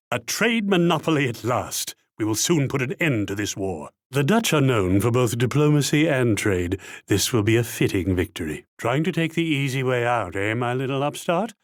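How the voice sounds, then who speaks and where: An actor playing a haughty, shrewd Dutch military commander in a strategy game, pompous, theatrical and patronizing. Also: male voice, mid-range voice, no echo, clean studio sound. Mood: haughty satisfaction. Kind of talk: scripted strategy game character lines.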